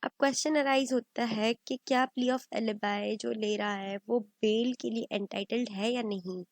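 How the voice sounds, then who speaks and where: A woman giving an explanatory law lecture, halting as she goes and slipping into formal English phrases. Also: fairly high pitch, steady tone, no echo. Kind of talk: explanatory lecture, Urdu mixed with English legal terms.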